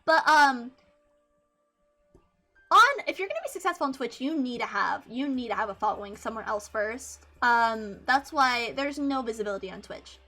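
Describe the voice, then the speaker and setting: High-pitched young woman streamer, bright. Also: expressive, normal speed. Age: 20s. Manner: swears freely, rambling with many restarts and fillers. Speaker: VTuber streamer. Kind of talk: casual livestream chat.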